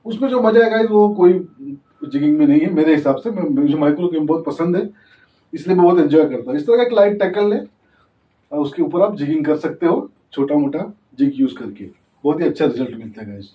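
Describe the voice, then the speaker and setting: A man's voice: fairly high pitch, lively intonation, fairly quick, warm tone. A young man hosting a fishing channel, giving a casual tutorial and explaining as he demonstrates, often slipping English terms into his talk. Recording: echoey, distant from the mic.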